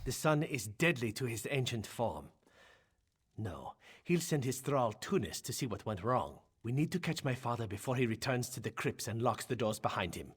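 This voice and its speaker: A man playing a calculating male vampire rebel in a video game, husky, measured and commanding. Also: mid-range voice, very animated. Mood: calm, calculating. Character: male vampire rebel leader, calculating foe of his ancient father.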